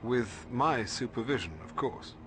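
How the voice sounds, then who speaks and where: An actor playing a bookish, middle-aged British librarian mentor in a video game, formal and precise, hesitating when puzzled. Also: male voice, medium pitch, lively intonation, unhurried, over music, close to an everyday voice. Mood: earnest, cautioning.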